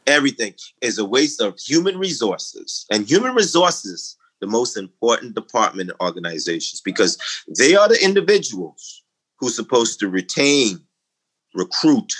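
A middle-aged man from the Bahamas with a Bahamian accent, a guest in a conversational interview, talking emphatically and climbing in pitch when he makes a point. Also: a bit bright, rough recording. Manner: emphatic, rising to a preaching lilt when making a point.

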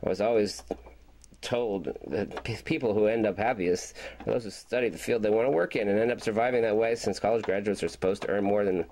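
A man's voice: on the low side, fairly quick, a little mellow, close to an everyday voice. A man playing an embittered, rambling spaceship commander in an amateur science-fiction audio drama, agitated and defiant. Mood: bitter, weary. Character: embittered male spaceship commander and struggling artist, ranting defiantly. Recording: very noisy home-made tape recording.

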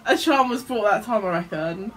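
A woman's voice: medium pitch, animated, a little fast, faint music behind. A young southeast English woman talking casually in a small bedroom. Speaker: vlogger making prank calls. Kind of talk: casual chat. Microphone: camera a short distance away. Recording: faint background room noise.

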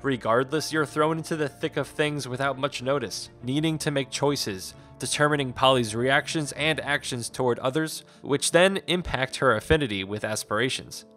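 A man reading a scripted game review in a measured, formal way. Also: mid-range voice, a bit bright. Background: background music.

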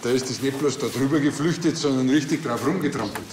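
A man's voice: mid-range voice, quick pace, background noise, lightly acted. An actor playing a blunt, nosy village policeman with a Bavarian accent, talking dryly and colloquially. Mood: insistent.

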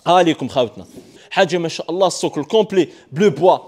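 A man's voice: a little high, a little mellow. A young man from Algeria with an Algerian Arabic accent full of French words, giving an enthusiastic sales walkthrough in a large indoor furniture showroom, filmed on a phone. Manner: enthusiastic, rapid sales patter mixing French terms.

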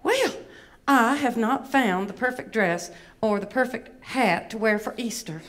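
A woman's voice: a little high, animated, a little slow, a bit bright. Woman voicing a vain, fussy Southern belle wombat puppet. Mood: fussy, boastful. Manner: dramatic, self-important, folksy endearments. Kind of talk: children's puppet skit.

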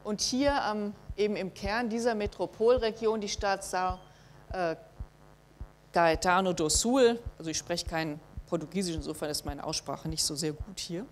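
Middle-aged woman giving a uni lecture, lots of ums, calm and measured, lecture hall. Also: on the low side. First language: German. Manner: measured, thinking aloud with frequent fillers. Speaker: university lecturer. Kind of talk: academic lecture with slides.

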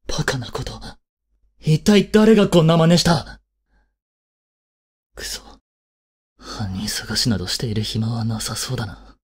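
An actor playing a cold, haughty young prince in an audio drama, with a husky, breathy voice and a curt, imperious manner. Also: male voice, medium pitch, heavily acted. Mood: shaken, angry. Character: cold, haughty young prince scolding and fretting over his maid. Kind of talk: Japanese audio drama acting.

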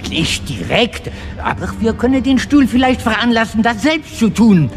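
High, gravelly, nervous alien underling. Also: male voice, very animated, moderate pace, over music, heavily acted. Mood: anxious. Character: nervous male alien underling, anxious and placating. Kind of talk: audio drama acting.

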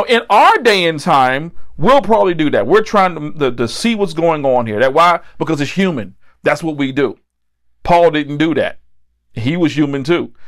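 A middle-aged American man preaching a sermon, phrasing deliberately and repeating key lines for emphasis. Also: sits high. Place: indoor set with a decorated backdrop.

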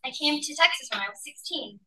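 A woman from Malaysia, a professor, leading an online teaching workshop over a video call and explaining with lively emphasis. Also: high-pitched, quick pace, poor audio.

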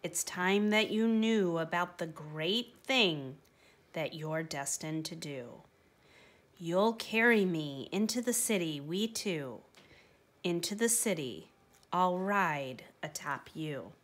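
A woman voicing a tall, thin, gentle man with a soft, breathy voice. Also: lowish voice, expressive, slow pace.

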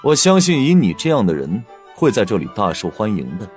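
A man plays a jovial, pleasure-seeking prince in a fantasy audiobook. His lowish voice swings dramatically up and down, hearty and familiar, with music underneath. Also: huge pitch swings, fairly quick, darkish tone, slightly rough, heavily acted. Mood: jovial teasing. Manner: theatrical, effusive. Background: music behind the voice.

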